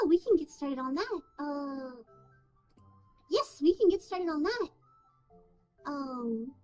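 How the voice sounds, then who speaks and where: A high-pitched woman hosts her livestream in a relaxed, easygoing way, with plenty of ums. Faint music plays quietly under her voice. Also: rough recording. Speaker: streamer host. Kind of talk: casual stream chat.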